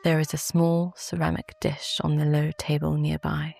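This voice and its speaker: A woman with a British accent gently narrates a guided sleep meditation in a soft, calm, soothing voice. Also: sits low, normal speed, creaky.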